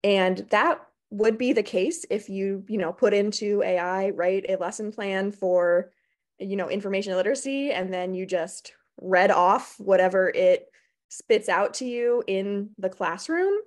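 A woman's voice: medium pitch, unhurried. Young American woman presenting informally. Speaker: academic librarian presenting at a conference. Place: small indoor room on a video call.

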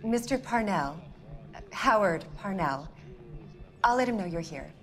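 An actress playing a polite, cool receptionist in a video game. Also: female voice, mid-range voice, animated, measured pace. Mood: polite, reluctant.

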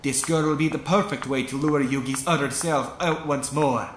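A man's voice: on the high side, fairly even intonation, normal speed, roomy sound, noisy background, natural voice. A man playing a vengeful ancient tomb keeper in an anime fandub, speaking in a grave, formal, portentous way. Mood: brooding, scheming. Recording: loud music behind the voice.

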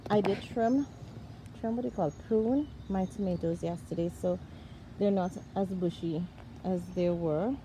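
A woman giving a casual walkthrough of her backyard garden, chatty and excitable and thinking aloud, recorded outdoors on a handheld phone. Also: mid-range voice, moderate pace. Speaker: gardening vlogger. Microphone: handheld phone camera. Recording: outdoor ambience with noise.

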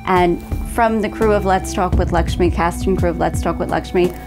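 A woman's voice: on the low side, steady tone, moderate pace, music in the background. A woman in her thirties from Guyana, hosting a talk show interview with questions and thanks. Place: office, seated at a desk.

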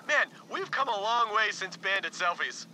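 A boastful bro bandit. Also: male voice, high-pitched, lively intonation, a little slow, pushed and bright, full character voice. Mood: chummy. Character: boastful goofy bandit leader man. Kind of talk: video game character dialogue. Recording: game audio under noisy gameplay.

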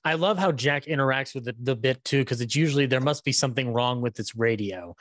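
A man's voice: medium pitch, brisk, fairly bright tone, no room sound. Man in his thirties, dry close mic. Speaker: podcast host. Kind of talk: casual discussion. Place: indoor room, on a video call. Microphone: studio mic on boom arm, close.

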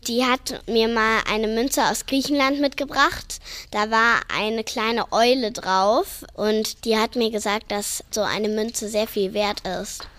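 High young female voice, casually recounting. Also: steady tone, takes it slowly, fairly bright tone.

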